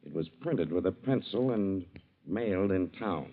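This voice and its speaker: An actor playing a methodical small-town sheriff with an American accent and a firm, measured manner in vintage radio drama. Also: male voice, deep voice, expressive. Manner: measured, insistent, authoritative. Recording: dull lo-fi old radio recording.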